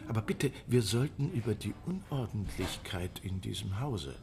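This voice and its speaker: A man's voice: lowish voice, very animated, normal speed, creaky, background noise. An actor in a radio play voicing a sly, prying theatre man with an oily, insinuating manner. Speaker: theatre employee.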